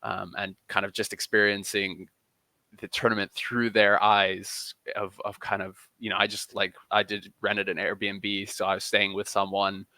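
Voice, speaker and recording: A man's voice: fairly low pitch, monotone, unhurried, pushed and bright, no echo. A man being interviewed as a football journalist on a casual podcast, often rephrasing as he goes.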